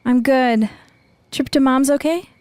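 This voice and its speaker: A woman's voice: sits high, measured pace, background noise. An American actress playing a tough, guarded female leader of a neighborhood militia in a naturalistic post-apocalyptic radio drama. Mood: guarded, tender.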